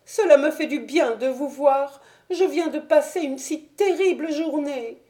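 A woman's voice: sits high, unhurried, dark tone, voice pushed up. A woman reading aloud voices an anxious old housekeeper in a tearful, pleading way. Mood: distraught.

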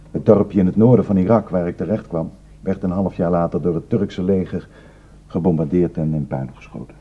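A man's voice: sits low, husky, phone-quality sound. An actor playing a weary, despairing Kurdish refugee man in a sombre radio drama.

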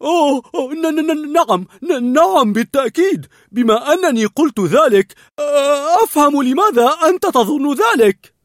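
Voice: mid-range voice, lively intonation, a little fast, darkish tone, no room sound, clean studio sound, pitched above the performer's own voice, close to an everyday voice. A storyteller voicing a nervous, eager male talking dog who stammers and jitters in a storybook reading.

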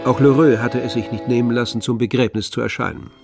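Male radio drama narrator, medium pitch, literary storytelling, light music bed. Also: fairly even intonation, fast, creaky. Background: faint string music.

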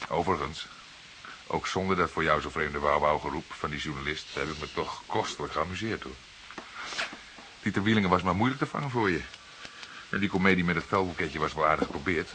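A man's voice: a bass voice, fairly quick, long pauses, bright, forceful tone. An actor with a Netherlands Dutch accent playing a younger detective sidekick in a radio drama, inquisitive and teasing towards his senior partner. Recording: hissy old radio play recording.

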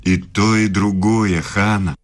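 A very low male voice, a middle-aged professor in a radio play. He courts in formal, measured phrases, intimate and insinuating. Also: steady tone, over loud music. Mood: seductive, teasing. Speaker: radio drama actor.

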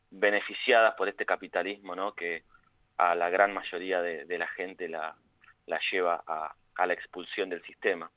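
Columnista argentino, voz grave y seca, vacilante, por teléfono de baja calidad. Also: male voice, low voice, bright, forceful tone, no echo, highs cut off. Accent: Argentine Spanish. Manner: hesitant, many restarts and fillers. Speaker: radio columnist. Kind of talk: phoned-in radio column. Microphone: phone line.

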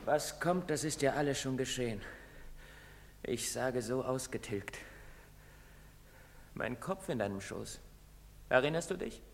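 A man's voice: mid-range voice, animated, a little slow, long pauses, slight background noise. An actor playing a bitter, hunted young man in a German stage drama, brooding and breaking into anguish. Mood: bitter, resigned. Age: young adult. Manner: brooding, swinging into anguished outbursts. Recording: reverberant theatre hall recording.

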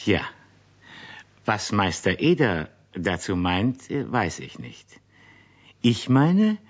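A man with a very low, husky voice tells a story to children at an unhurried pace. His delivery is conversational and wry, with expressive melody, on a slightly muffled old radio recording. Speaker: narrator of children's radio play series. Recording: dull vintage radio recording.

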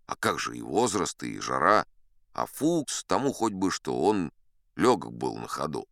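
A man's voice: extremely low pitch, sing-song, a little slow, fairly bright tone, dry recording, studio quality, heavily acted. A man playing an older sea captain who spins a yarn in a dramatized audiobook, wry, boastful and conversational. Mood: wry, rueful. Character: old sea captain storyteller, folksy, boastful, dignified.

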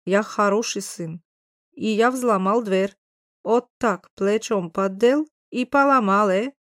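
A woman's voice: medium pitch, slow, no echo, studio quality, natural voice. A woman narrator voicing a burly, proud and commanding neighbour man with a guttural Caucasian accent, in blunt short phrases. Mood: boastful, matter-of-fact. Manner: punctuates speech with interjections, blunt short phrases.

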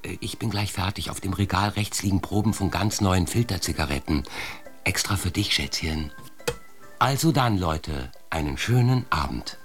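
An actor playing a friendly, casual supermarket clerk in a 1950s small town, talking wryly in a radio drama. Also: male voice, deep voice, animated, moderate pace, faint music behind. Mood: chatty, announcing. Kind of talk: naturalistic German radio drama dialogue.

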